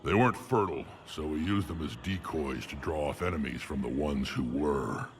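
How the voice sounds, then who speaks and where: A huge, battle-worn alien clan warlord for a video game. The voice is an extremely low, gravelly rumble. He is blunt, proud and dryly funny, over faint background noise. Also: normal speed. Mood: gruff, resolute. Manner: blunt, dry-humored. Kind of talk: video game cutscene acting.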